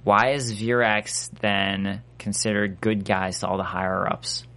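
A man with a nasal voice, co-hosting a casual recap chat, quick to jump into quoted voices. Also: fairly low pitch, level tone, bright, forceful tone. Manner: chatty, breaks into quoted voices. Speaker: podcast co-host.

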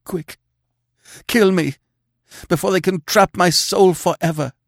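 A man's voice: high-pitched, unhurried, vocal fry, dry recording, studio quality, voice pushed up, exaggerated voice. A man voicing a bewitched, dying young king who pleads in anguish and gives imperious orders, in an audiobook reading. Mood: urgent, failing. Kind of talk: audiobook character voice.

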